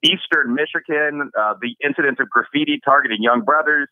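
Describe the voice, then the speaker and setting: A man's voice: a little high, a little fast, dry recording, phone-quality sound. A man from the Chicago area, a professor and author, giving fluent interview answers and listing cases one after another. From Chicago area, USA.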